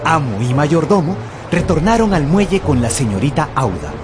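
A man's voice: fairly high pitch, big pitch swings, moderate pace, background noise. A man narrating a dramatized story for radio in a theatrical storytelling manner. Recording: music behind the voice.

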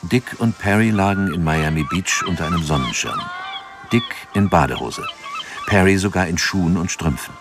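A man narrating a radio drama in a calm, measured way, with music behind him. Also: deep voice.

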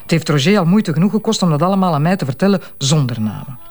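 An actress playing a detective's teasing, self-assured wife in a radio drama, with playful, colloquial Flemish speech. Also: female voice, sits low, expressive, quick pace, darkish tone. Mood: confiding, animated. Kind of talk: naturalistic radio drama dialogue.

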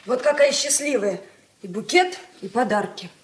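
An actress playing a pert, excitable young daughter in a 1980s Soviet radio play, recorded in a roomy studio. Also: female voice, fairly high pitch, moderate pace, close to an everyday voice. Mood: cheeky.

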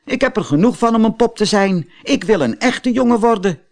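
A man's voice: high voice, expressive, a little fast, darkish tone, voice pushed up. A man voicing a young wooden puppet boy in a storybook, eager and earnest. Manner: eager, pleading.

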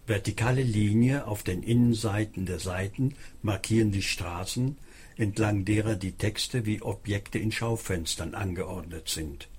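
Man, scripted radio narration, lowish pitch, husky tone. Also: unhurried, darkish tone.

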